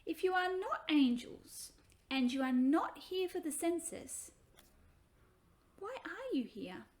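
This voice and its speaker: A woman voicing a gentle, earnest young mother-to-be from ancient times in a children's story, kindly and questioning. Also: extremely high pitch, big pitch swings, takes it slowly, voice pushed up, heavily acted. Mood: bewildered.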